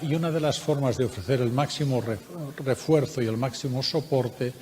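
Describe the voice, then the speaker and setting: A man's voice: mid-range voice, darkish tone, a little hiss or hum. Spanish man lecturing in an echoey hall. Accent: Spanish from Spain. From Spain. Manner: measured, explanatory, with frequent hesitations. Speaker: researcher presenting a project. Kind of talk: conference workshop talk. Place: conference room.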